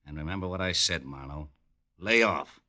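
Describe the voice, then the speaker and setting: Deep, hard-edged menacing gunman. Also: moderate pace, pushed and bright. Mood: cool menace. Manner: cool, threatening, tough slang. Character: menacing, smug male gunman crook. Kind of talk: old-time radio crime drama acting. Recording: vintage radio drama recording.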